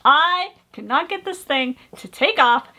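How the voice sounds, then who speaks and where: A woman's voice: high voice, expressive, a little slow, sharp tone. A young woman co-hosting a casual chat, telling stories in a chatty way and laughing often. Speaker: co-host of an RC hobby channel.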